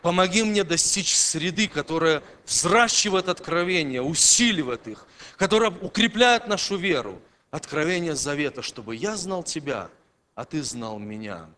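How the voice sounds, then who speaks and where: A man preaching a sermon to a congregation, emphatic, swinging between loud declarations and quiet, low passages. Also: animated, normal speed. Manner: emphatic, rising and dropping in loudness.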